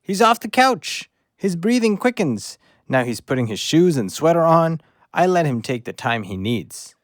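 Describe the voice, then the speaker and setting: A man's voice: a little high, big pitch swings, normal speed, no echo, studio quality. A man playing a loyal, eager rescue dog in an audio drama, earnest and pleading with his human. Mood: pleased.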